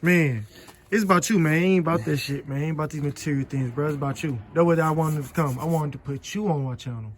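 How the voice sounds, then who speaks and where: A man's voice: a little high, fast talker. An American man hosting a vlog, chatting casually in a slangy street style. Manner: slangy, street-talk delivery. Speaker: vlog host. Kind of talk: casual chat. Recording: clearly noisy background.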